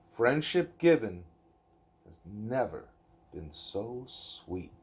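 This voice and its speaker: Male parent, young adult, for bedtime storybook read-aloud: unhurried, expressive, with soft casual asides to a child. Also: lowish voice, a little hiss or hum. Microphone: phone recording, held or propped nearby.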